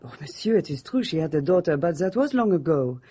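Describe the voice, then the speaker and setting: A guarded maid, slightly husky. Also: female voice, sits low, big pitch swings, a little fast, dark tone, exaggerated voice. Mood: matter-of-fact. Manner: formal, guarded, curt denials. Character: guarded, defensive French maid loyal to her dead mistress. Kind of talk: radio drama acting.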